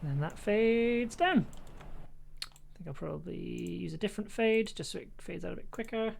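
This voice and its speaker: A woman's voice: on the low side, normal speed, vocal fry, a little mellow, slight background noise. A woman chatting casually and playfully on a livestream, thinking aloud as she edits audio. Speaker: streamer and sound designer. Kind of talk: casual livestream commentary while editing audio.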